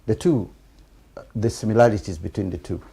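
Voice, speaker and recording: A man with a Ugandan accent hosting a political interview, speaking conversationally and haltingly, repeating words as he makes his point. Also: on the low side, gravelly, warm tone, slightly rough. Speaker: TV programme host.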